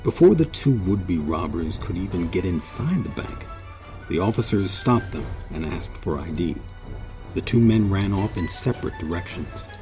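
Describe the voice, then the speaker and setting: Deep mellow guy narrating a history show, measured and solemn, faint music under him. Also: expressive. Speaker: host and narrator. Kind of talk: scripted documentary narration.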